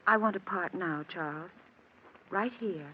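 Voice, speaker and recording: An actress playing a gentle, sorrowful woman in love with a married man, restrained and earnest, in a 1940s radio drama. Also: on the low side, a little slow, close to an everyday voice. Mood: sad farewell. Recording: dull, hissy old radio broadcast.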